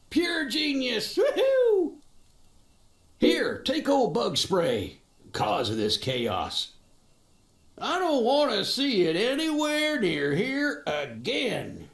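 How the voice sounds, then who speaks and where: A man voicing an eccentric, sheepish, excitable inventor in a video game, folksy and halting. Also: very high-pitched, reverberant, exaggerated voice. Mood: excited, then regretful. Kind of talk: video game character acting.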